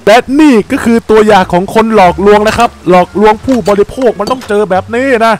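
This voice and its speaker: A young man with a high, bright voice chats excitedly through a game session, throwing out exclamations and jokes to his viewers. Soft game music plays faintly under his desk-mic voice. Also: a little fast. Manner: excitable, exclaims often, jokey. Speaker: Minecraft gameplay YouTuber. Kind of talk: playful gameplay commentary.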